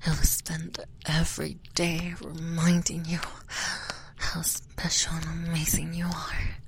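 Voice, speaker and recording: A woman in an intimate audio roleplay, speaking in a soft, breathy whisper that stays slow and close. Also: low-pitched. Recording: steady background hiss.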